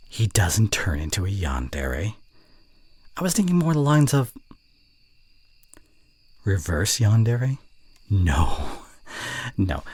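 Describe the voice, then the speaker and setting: A young man playing a teasing, fond college student and voice actor in an intimate ASMR roleplay, with a breathy voice that often drops toward a whisper and halting, playful delivery. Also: sits low, a little mellow, no room sound, heavily acted. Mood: gently pleading. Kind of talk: scripted ASMR roleplay addressed to the listener.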